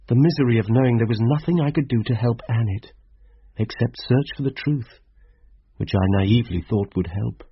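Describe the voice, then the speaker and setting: A man's voice: mid-range voice, breathy edge, no room sound, highs cut off. An actor playing a weary, troubled young man, narrating his story reflectively in a radio drama. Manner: brooding, reflective. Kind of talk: scripted first-person narration in radio drama.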